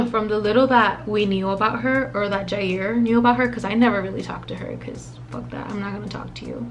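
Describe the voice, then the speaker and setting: Woman, 20s, vlogger, slightly raspy, dry mouth, chatty, profane, mixes in Spanish phrases, close camera mic. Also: medium pitch, brisk. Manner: chatty, profane, rambling with many fillers and asides. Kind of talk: casual storytime monologue.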